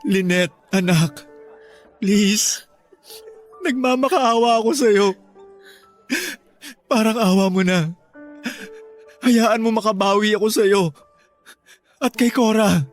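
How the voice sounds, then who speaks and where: A guilt-ridden middle-aged Filipino father in a radio drama. His fairly high voice is earnest and imploring, with music playing behind it. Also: slow pace, long pauses. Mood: anguished, begging.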